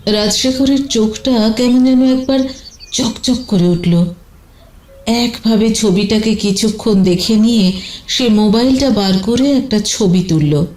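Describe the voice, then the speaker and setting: A woman's voice: a little high, a little slow, darkish tone, echoey room. A woman narrating a scripted detective audio story in a dramatic storytelling style.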